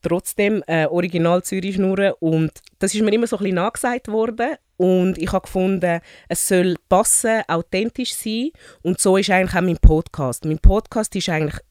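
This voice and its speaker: A woman with a Swiss German accent, chatting as a podcast guest and often pausing on fillers. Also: on the low side, darkish tone, no echo, clean studio sound. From Switzerland, born in Zurich. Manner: chatty, frequent hesitation fillers. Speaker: podcast guest, new board member. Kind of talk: casual interview chat.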